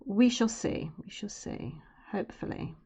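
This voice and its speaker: A woman with a naturally low voice, chatting casually and rambling to her live-stream viewers while she draws. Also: a little slow, vocal fry, dark tone, slightly rough. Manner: chatty and rambling, drifting into murmurs and humming while she works. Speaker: streamer and artist. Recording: dull lo-fi stream audio.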